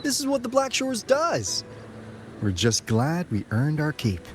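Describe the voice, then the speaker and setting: A woman's voice: low voice, huge pitch swings, measured pace, soft background music, full character voice. An actress playing a breezy, dedicated female operative with a familiar manner in a video game. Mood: modest.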